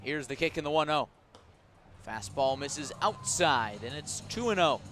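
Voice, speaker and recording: Guy doing baseball play-by-play on radio, kinda high voice, music going in the background. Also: lively intonation, fairly bright tone. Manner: measured call that rises sharply on big plays. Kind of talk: live baseball radio commentary.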